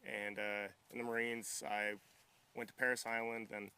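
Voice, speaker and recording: A man's voice: fairly low pitch, level tone, moderate pace, bright, forceful tone, clear, resonant tone. A young man giving casual interview answers in a polite, modest, easygoing manner. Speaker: interview guest, military veteran and welder.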